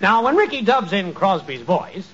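An actor playing a chummy, smooth-talking salesman with a slick, persuasive manner in a 1940s radio comedy. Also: sits high, sing-song, normal speed, a bit bright, heavily acted. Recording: muffled 1940s radio recording.

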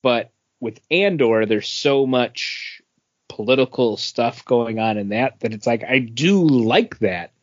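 A tipsy man chats casually on a podcast at a slow pace. His voice is mid-pitched. The sound is dry and slightly lo-fi. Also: expressive, no room sound. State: tipsy from drinking. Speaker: podcast co-host. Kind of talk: casual chat.

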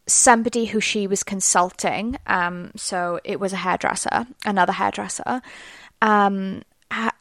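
A woman's voice: mid-range voice, creaky, fairly bright tone, no echo. A young Welsh woman hosting a solo podcast, chatting in a rambling way full of fillers. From Wales. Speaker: podcast host. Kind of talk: casual solo podcast monologue.

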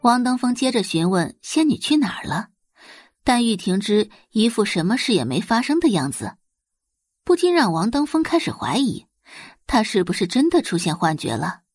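A woman's voice: mid-range voice, very animated, moderate pace, breathy edge, no echo, clean studio sound. A woman narrating a scripted audiobook story in a dramatic storytelling style.

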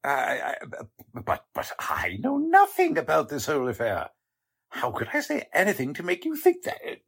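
A man acting a fussy, smug company man with a crooked side in a 1940s-style radio drama, his voice husky. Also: fairly high pitch, huge pitch swings, moderate pace, fairly bright tone, exaggerated voice. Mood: fussy, flustered. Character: fussy company man who is secretly a smug crime boss.

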